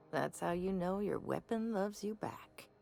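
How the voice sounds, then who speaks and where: Flirtatious game weapons vendor, lowish female voice, very animated pitch, intimate salesy manner. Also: slow, gravelly, full character voice. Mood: sultry sales pitch. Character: flirtatious woman shopkeeper pitching weapon modifications.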